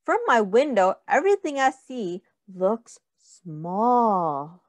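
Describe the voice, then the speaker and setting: A middle-aged woman reading a picture book aloud to young children, with simple, deliberate phrasing and questions to the listeners. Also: medium pitch, very animated, dry recording.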